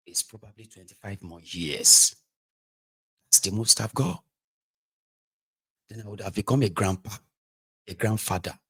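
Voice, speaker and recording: A Nigerian man in his forties giving an impassioned political monologue, emphatic and rhetorical, jumping between loud outbursts and quiet asides. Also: fairly low pitch, husky, rough recording. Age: 40s. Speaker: political commentator.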